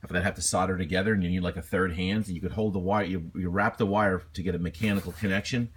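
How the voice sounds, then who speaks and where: A man's voice: sits low, fairly even intonation, fast, slightly rough. A man presenting a casual, unscripted repair walkthrough in a rambling, self-deprecating, colloquial way. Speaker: hobbyist presenter.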